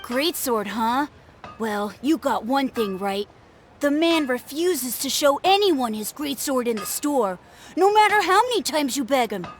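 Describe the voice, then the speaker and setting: A woman's voice: high-pitched, animated, unhurried, slight background noise. A woman voicing a boastful, suspicious little boy who talks in a pompous, blustering way in a video game.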